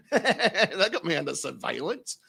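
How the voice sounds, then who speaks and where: A man's voice: fairly high pitch, big pitch swings, unhurried, creaky, pushed and bright. A man hosting a studio talk show, swearing freely and laughing often between showy announcer-style introductions. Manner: boisterous, profane, laughs often. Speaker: host. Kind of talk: crude comedic banter and showy introductions.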